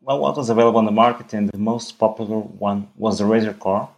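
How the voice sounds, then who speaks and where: A man's voice: on the low side, steady tone, gravelly, lo-fi sound. A man with a Russian accent hosting a casual tech podcast, joking and often restarting his sentences. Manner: halting, jokey, many restarts. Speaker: podcast host.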